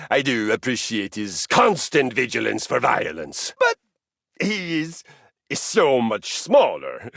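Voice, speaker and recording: A boastful Norse warrior who is really a piece of exercise equipment in a video game, with a Norwegian accent and a pompous, theatrical manner. His gravelly, husky voice leaps wildly between low rumbles and high flourishes. The sound is dry and close. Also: bright, forceful tone, dry recording, full character voice. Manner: pompous, theatrical swings in pitch. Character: boastful Norse-style male exercise machine, honor-obsessed warrior. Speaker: dating game character.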